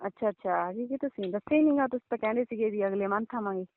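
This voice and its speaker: A woman's voice: mid-range voice, very fast. A woman chatting casually and intimately on the phone, politely deferential. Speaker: phone call partner. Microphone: phone call. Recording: thin lo-fi phone line.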